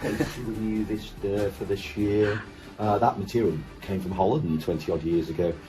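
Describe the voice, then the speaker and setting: A man's voice: fairly low pitch, steady tone, normal speed, rough recording. A middle-aged man in a casual backstage chat, explaining things in a hesitant, stop-start way. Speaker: pantomime dame being interviewed. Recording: loud music over backstage chat.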